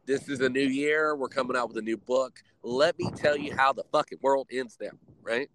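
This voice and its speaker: A man's voice: mid-range voice, normal speed, slight background noise, poor audio. A man chats on a live stream in a mid-range voice. He rambles, swears freely, and animates his stories with big swings in emphasis. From Texas, US. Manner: rambling, profane, animated storytelling. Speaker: streamer host. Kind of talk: casual live-stream chat.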